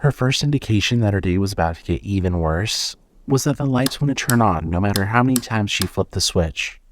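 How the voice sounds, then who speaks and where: Casting: male narrator for scripted horror audio fiction, somewhat low pitch, storytelling delivery. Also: lively intonation, brisk.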